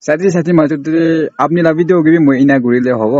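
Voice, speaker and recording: A man's voice: on the high side, fairly quick, a little mellow, dry recording. A man on a casual, confrontational phone call, scolding and accusing insistently. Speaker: prank caller.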